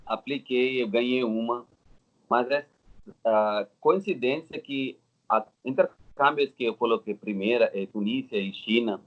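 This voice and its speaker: Indian guy in his 30s w indian accent telling his story, halting simple phrases, kinda muffled lo-fi sound. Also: mid-range voice. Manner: halting, non-native Portuguese with simple phrasing. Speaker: interview guest. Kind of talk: casual personal storytelling. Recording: dull, lo-fi audio.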